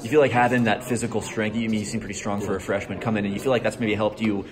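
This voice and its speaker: Fast-talking male sports reporter. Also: lowish voice, flat delivery, a crowd in the background. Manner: long rambling questions with hedges. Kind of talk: postgame media questions. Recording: noisy crowded locker room.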